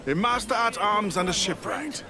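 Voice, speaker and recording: An actor playing a stern, principled pirate quartermaster in a video game, formal and commanding in manner. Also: male voice, high-pitched, normal speed, music in the background. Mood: exacting. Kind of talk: video game cutscene acting. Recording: dialogue over clear background noise.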